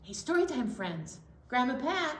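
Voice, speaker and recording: A woman leads a children's story time with playful, simple instructions. Her voice is on the high side and very animated, at an easy, slowish pace, in an echoey room. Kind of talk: interactive storytime, addressing young children. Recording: echoey room, distant mic.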